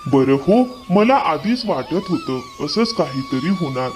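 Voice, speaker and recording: A man voicing an emphatic, emotional village family man in an animated moral story. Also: sits high, measured pace, soft background music, exaggerated voice. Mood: serious, firm. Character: older village father and pleading brother-in-law.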